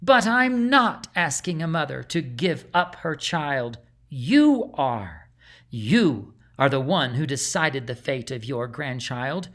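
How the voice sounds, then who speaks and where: A man's voice: mid-range voice, very animated, slow pace, a bit bright, heavily acted. A man voicing a menacing aging sorceress in a storybook, hollow and callous, commanding and mocking. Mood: accusing.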